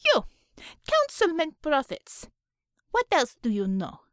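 A woman's voice: sits high, wildly swooping pitch, slow, a bit bright, no room sound, studio quality, voice pushed up, heavily acted. A woman voicing a ruthless female commissar in an audiobook, with a Russian accent and taunting, threatening broken English. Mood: scornful, demanding.

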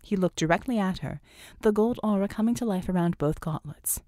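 Mellow woman narrating an audiobook. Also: mid-range voice, lively intonation, fairly quick, no room sound, clean studio sound. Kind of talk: scripted dramatic fiction narration.

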